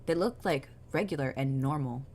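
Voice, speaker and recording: A woman's voice: very deep voice, normal speed, a little mellow. A woman chatting casually and incredulously while watching videos. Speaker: co-watcher reacting. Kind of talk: casual reaction chat.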